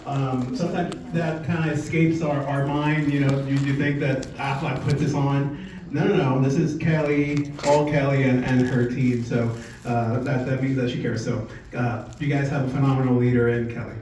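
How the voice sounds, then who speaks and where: A man in his forties from Puerto Rico gives an informal presentation, telling a personal story in a conversational, halting way. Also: medium pitch, little pitch movement, recorded from a distance. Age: 40s. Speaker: speaker at a company sales meeting. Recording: echoey room from afar, muffled, faint noise.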